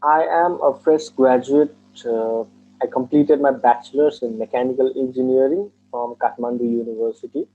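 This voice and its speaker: Young man from Nepal, native Nepali speaker, mid-pitched, earnest formal answers, narrow phone-line sound. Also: moderate pace, a little hiss or hum. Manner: earnest, formal phrasing with frequent restarts. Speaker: test candidate. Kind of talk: mock speaking exam answers. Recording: tinny phone-like call audio.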